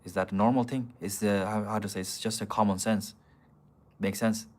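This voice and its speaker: Blunt, low-voiced man streaming live. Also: fairly even intonation, moderate pace, a little mellow. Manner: blunt, profane, argumentative with chat. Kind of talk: casual live-stream chat, answering viewer comments. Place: city streets outdoors.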